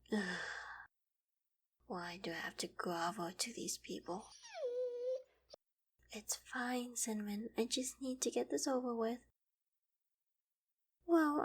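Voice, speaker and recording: Woman acting a breathy, gentle young queen. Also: mid-range voice. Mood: reluctant, muttering. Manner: soft, breathy, dropping into whispered asides. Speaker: voice actor in audio roleplay. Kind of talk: scripted ASMR roleplay acting.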